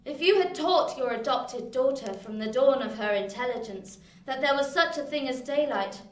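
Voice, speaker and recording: Radio play cold, proud young lady: high bright voice, formal and haughty, heard in an echoing room. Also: expressive, moderate pace. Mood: cold resolve. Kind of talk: theatrical radio play acting. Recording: echoing room, distant from mic.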